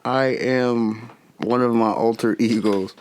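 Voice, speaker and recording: A man's voice: medium pitch, slow pace, a little hiss or hum. A man in his late twenties, a podcast guest and rapper, telling stories in casual chat, cursing freely and acting out scenes as he goes. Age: 20s. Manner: animated storyteller, swears freely, acts out scenes.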